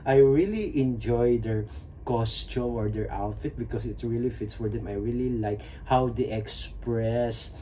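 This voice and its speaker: A mellow male voice with a Filipino accent, pitched around average. He comments casually and with open admiration as a reaction host. Faint background noise sits behind his warm tone. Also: a little slow. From Philippines. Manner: enthusiastic, admiring. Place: small plain indoor room.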